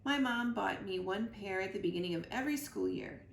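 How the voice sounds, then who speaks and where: A woman reading a children's book aloud in an expressive, animated storytelling manner. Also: medium pitch, fairly quick. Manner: animated, acts out dialogue. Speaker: reader of children's books at a library. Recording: echoey room, clear background noise.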